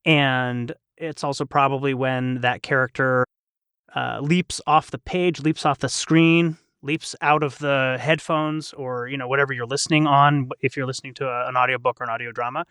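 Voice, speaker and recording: A man's voice: medium pitch, fairly even intonation, moderate pace, sharp tone, smooth, no room sound, studio quality. A man hosting a casual panel discussion in a chatty, reflective, wordy way. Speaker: podcast host and voice actor.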